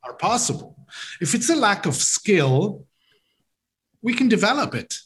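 A man's voice: high-pitched, sing-song, unhurried, fairly bright tone. A man from Switzerland, a native German speaker, explaining leadership ideas as a podcast guest, often repeating words as he talks. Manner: explanatory, uses garden metaphors, repeats words. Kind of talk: interview conversation.

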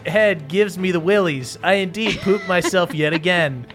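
Wimpy elderly halfling coward, played by a man, fretful and higher-pitched, for a comic radio play. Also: moderate pace, over music. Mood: fearful, whiny. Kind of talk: deliberately hammy radio-play reading.

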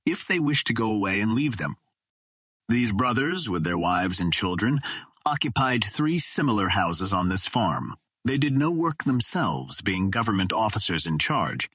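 A man's voice: on the low side, a bit bright, breathy edge, no echo. A man narrating an adventure novel as a scripted audiobook reading.

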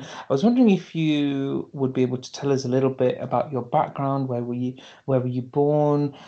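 A man's voice: medium pitch, mellow, lo-fi sound. A man who hosts the podcast, chatty and teasing as he asks questions. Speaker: podcast host. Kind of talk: podcast interview.

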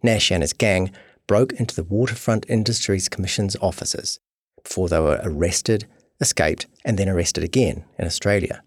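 A man's voice: low voice, normal speed, breathy edge, dry recording, studio quality. A man reading a scripted true crime long-read as narrator. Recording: music behind voice. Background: guitar music.

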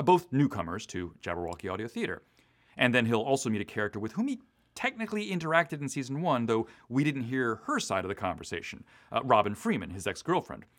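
Male writer in interview, East Coast US origin. Very animated intonation, casual, enthusiastic, prone to detailed tangents. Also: mid-range voice. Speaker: audio drama writer being interviewed. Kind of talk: casual, detailed behind-the-scenes chat.

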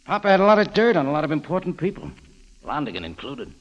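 Fast-talking hardboiled radio adventurer. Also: male voice, on the high side, huge pitch swings, lo-fi sound. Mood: wry, probing. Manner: wry, clipped, hardboiled. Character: quick-witted tough hardboiled male adventurer narrating his own story. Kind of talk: 1940s-50s radio drama acting. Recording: old radio recording, reverberant, music behind.

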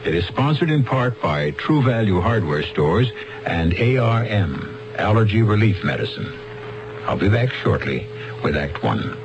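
An American man hosting a radio mystery drama with measured, theatrical, portentous scripted narration. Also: on the low side, big pitch swings, over music. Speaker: drama series host. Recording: dull vintage radio recording.

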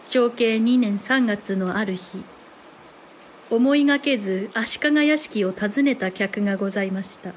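A woman's voice: mid-range voice, unhurried, background noise, lightly acted. A woman playing a polite, courtly narrator, delivering formal scripted narration for a Japanese historical audio drama. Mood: composed.

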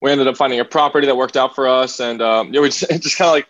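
A man's voice: mid-range voice, racing, a bit bright. Young male singer, 20s, casual and rambling, dull video-call audio. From New Jersey, raised in Hawaii. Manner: rambling, many "like" and "um" fillers. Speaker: singer, podcast guest. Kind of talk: casual interview chat.

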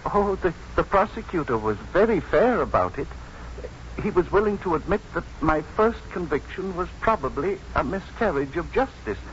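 Male actor as mild, plain-spoken ex-convict, 1950s radio play. Halting delivery, higher register, light hiss. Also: expressive, lightly acted. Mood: deadpan, mild. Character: slow, simple, mild-mannered male ex-convict, earnest storyteller. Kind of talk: 1950s radio drama acting. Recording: muffled, noisy 1950s radio broadcast.

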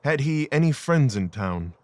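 A man playing a brisk, analytical Victorian gentleman detective in an audio drama, terse, formal and detached. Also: medium pitch, big pitch swings, no room sound, natural voice. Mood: detached, curious.

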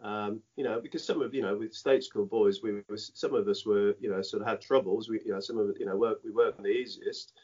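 Low-voiced man, halting and reflective. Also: steady tone, a little mellow, slightly rough. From Dominica. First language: Dominican French patois. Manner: halting, many "you know" fillers and restarts. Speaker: former cricketer, guest. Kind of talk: reflective interview storytelling. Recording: dull lo-fi sound.

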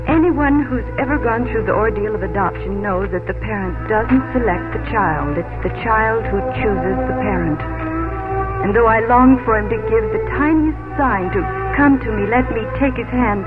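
An actress playing a tender-hearted, earnest woman in a 1950s radio drama, speaking with halting, emotional phrasing. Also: a little high, close to an everyday voice. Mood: reflective. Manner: emotional, halting delivery with repeated words. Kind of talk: 1950s radio drama acting with first-person narration. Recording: tinny old radio broadcast, loud music.

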